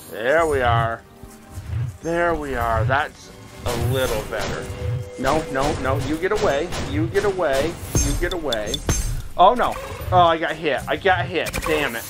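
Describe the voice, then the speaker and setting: Man, slightly high voice, gaming host, casual chatty play-by-play to viewers, clear music in the background. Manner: chatty, talking to viewers while playing. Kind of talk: casual VR gameplay commentary. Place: indoors, playing in a VR headset. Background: game music.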